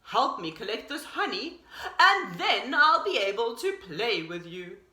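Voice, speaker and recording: A woman voicing a hungry, apologetic male storybook bear who speaks slowly and cautions others. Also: a little high, very animated, bright, forceful tone, exaggerated voice. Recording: roomy echo.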